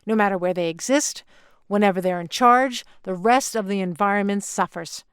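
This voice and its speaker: A woman's voice: medium pitch, normal speed, smooth, no echo, studio quality, natural voice. A woman playing an unflappable, businesslike alien real estate agent in an audio play, with a salesy delivery. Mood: cautioning.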